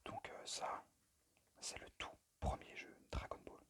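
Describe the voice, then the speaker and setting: Man whispering a hesitant ASMR presentation. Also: unhurried. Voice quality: breathy unvoiced whisper. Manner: hesitant, unpractised, apologetic. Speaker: amateur ASMR creator and game collector. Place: at a desk indoors. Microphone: phone microphone.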